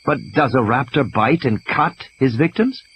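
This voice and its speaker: A man's voice: mid-range voice, normal speed, fairly bright tone, pitched above the performer's own voice, close to an everyday voice, poor audio. A man voicing a determined woman doctor at a village clinic, earnest and probing, in an audio play. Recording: background hiss.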